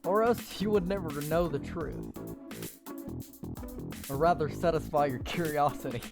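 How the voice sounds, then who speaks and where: A man reading a game's story text aloud in a slow, deliberate way, breaking off for blunt, sweary, sarcastic asides. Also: a little high. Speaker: gamer reading a visual novel aloud. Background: game music.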